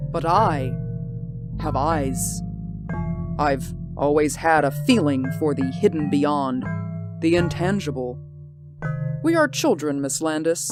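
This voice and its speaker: An actor in a 1940s radio drama playing a haunted, sleepless man who pleads in an intense, hushed voice. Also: on the high side.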